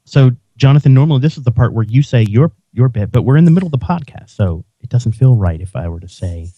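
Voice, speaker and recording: A man's voice: mid-range voice, animated, fast, dark tone, no room sound. A man hosting a podcast, chatting casually and restarting his sentences often. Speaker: podcast host. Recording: dull lo-fi sound.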